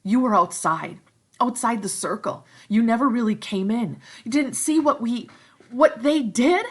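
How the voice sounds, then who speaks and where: Troubled, stricken boy, read by a woman; raised pitch, husky tone. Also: lively intonation, a little slow. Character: shaken, guilt-stricken boy leader.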